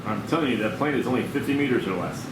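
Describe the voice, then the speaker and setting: A man's voice: mid-range voice, lively intonation, quick pace, close to an everyday voice. A man playing an earnest, melodramatic airline captain who survived a crash. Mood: grave. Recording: echoey, noisy room, far from mic.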